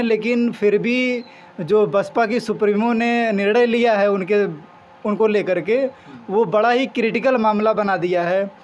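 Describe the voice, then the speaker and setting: Formal young man, high voice. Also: dull audio, a little hiss or hum. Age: young adult. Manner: formal, mixes English terms into speech. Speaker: student interviewee. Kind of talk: formal spoken opinion. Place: outdoors in a village.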